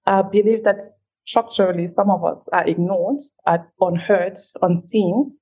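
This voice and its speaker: Measured woman from Cameroon, slightly muffled. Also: medium pitch, warm tone, slightly rough. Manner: thoughtful, measured, speeds up on asides. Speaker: guest, feminist leader. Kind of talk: reflective interview answers.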